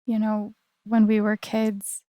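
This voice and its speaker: A woman's voice: mid-range voice, monotone, slow pace, dark tone, dry recording, close to an everyday voice. A woman playing an earnest, wounded but defiant young video game heroine, speaking in a plain, emotionally charged way. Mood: grieving, resolute. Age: young adult. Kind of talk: dramatic video game voice acting.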